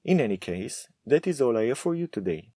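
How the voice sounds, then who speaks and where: A man teaching a Hebrew lesson, speaking slowly and deliberately with firm emphasis. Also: mid-range voice, very animated, dark tone, dry recording, clean studio sound. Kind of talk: scripted lesson to camera.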